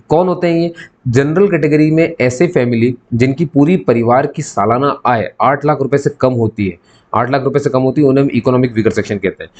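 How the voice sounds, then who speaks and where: A man's voice: medium pitch, a little mellow, lo-fi sound. A man giving an informal explainer to viewers as a channel host, instructive, repeating key points and posing questions that he then answers.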